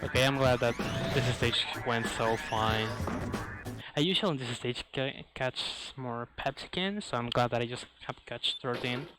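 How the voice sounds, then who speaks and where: A man speaks in the style of live speedrun commentary, with slightly non-native English phrasing. His voice sits at an ordinary male pitch, and loud game music plays behind him throughout. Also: normal speed, low-quality recording. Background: loud video game music.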